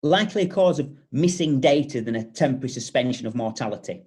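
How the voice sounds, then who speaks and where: An actor playing a brisk, formal male news reporter in a dramatic script reading. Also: mid-range voice, lively intonation, a little mellow, husky.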